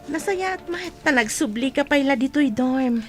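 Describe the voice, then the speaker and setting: A woman's voice: high-pitched, animated, normal speed, soft background music. A woman playing a prying, teasing young student friend in an Ilocano radio drama. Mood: prying, reproachful.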